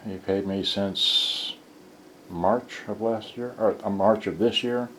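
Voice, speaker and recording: A man's voice: lowish voice, fairly even intonation, slow pace, a little hiss or hum. A man giving an unscripted hands-on product demo as a repairer, rambling in fragments while he handles the gear. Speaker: cassette player repairer demonstrating serviced units. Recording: roomy, distant mic with loud music. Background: music from cassette players.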